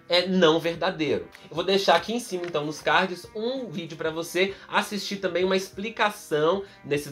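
A man in his thirties from Brazil, explaining a philosophy text to camera step by step, recorded close up in a room with bookshelves. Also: high voice. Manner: expressive, explains step by step with examples. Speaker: philosophy teacher. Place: indoor room in front of bookshelves. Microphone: camera close up, facing the lens.

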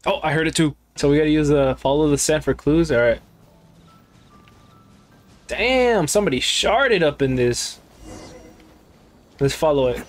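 A man's voice: on the high side, unhurried, long pauses. A young man giving casual, irreverent, slangy commentary as he streams a video game. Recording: game music in background. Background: game music.

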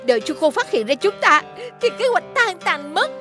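Haughty high-pitched villainous mantis queen. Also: big pitch swings, moderate pace. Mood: scheming, commanding. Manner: imperious, scornful. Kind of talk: children's animated cartoon acting. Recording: loud music behind the voice.

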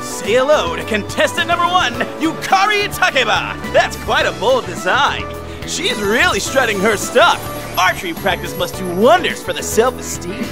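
A goofy, cocky teenage boy from an anime game. His high voice swings wildly as he teases in showy slang, with music playing behind. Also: sing-song, normal speed. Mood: teasing, showy. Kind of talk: comic anime game dub.

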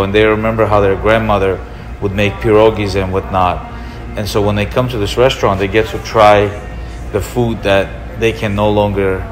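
Russian-born man with a low voice. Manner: relaxed, explanatory, gestures while talking. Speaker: restaurant owner. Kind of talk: documentary interview. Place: indoor room with leather couch.

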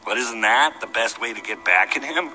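A man's voice: medium pitch, expressive, normal speed, bright, forceful tone, a little hiss or hum, rough recording. An actor playing a mischievous big young guy who is eager to steal, in a video game. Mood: sulky, mischievous.

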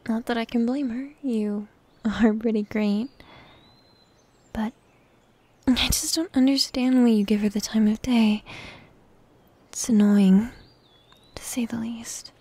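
Woman acting possessive tsundere elf wife, ASMR roleplay, mellow, unhurried, soft and sulky. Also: on the high side, steady tone, dry recording. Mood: jealous, annoyed. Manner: soft, breathy, halting, sulky delivery. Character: jealous, possessive, needy tsundere elf wife, female warrior.

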